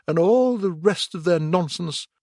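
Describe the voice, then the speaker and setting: A man's voice: sits high, animated, unhurried, darkish tone, dry recording, studio quality, voice pushed up, heavily acted. A man doing a gleeful, chatty mole. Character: cheerful mischievous male mole.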